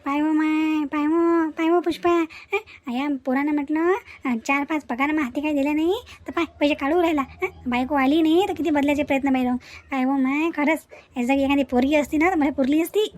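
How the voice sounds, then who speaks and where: A woman's voice: high voice, steady tone, fairly quick, a little mellow, close to an everyday voice. A woman voicing an angry, nagging village mother in a cartoon comedy, taunting and repeating herself in a Vidarbha Maharashtrian dialect. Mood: taunting, aggrieved. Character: angry, scornful Marathi mother and meddling village aunt. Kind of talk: comic family drama cartoon dubbing.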